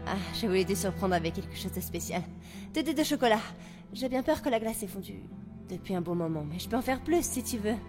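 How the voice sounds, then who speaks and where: A woman playing a gentle young queen, a devoted and protective elder sister, in a French fandub of a fantasy drama. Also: medium pitch, lively intonation, brisk, lightly acted. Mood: caring. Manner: earnest, soft-hearted. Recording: loud music under the voice.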